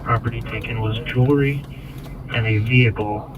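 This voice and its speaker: Monotone male dispatcher, dull scanner sound. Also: mid-range voice, moderate pace. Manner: steady, businesslike code reading. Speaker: police radio dispatcher. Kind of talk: clipped radio dispatch. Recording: dull police scanner with background noise.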